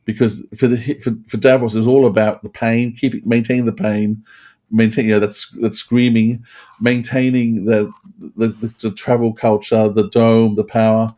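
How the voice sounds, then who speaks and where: A man hosting a casual review chat, rambling and halting, often repeating his phrases. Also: lowish voice, little pitch movement, dark tone. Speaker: podcast host.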